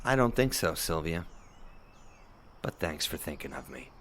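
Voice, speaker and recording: A man playing a volatile, washed-up internet talk show host in an audio drama, sarcastic and emphatic, halting when worked up. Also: a bass voice, very animated, a bit bright, a little hiss or hum, full character voice. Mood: wary.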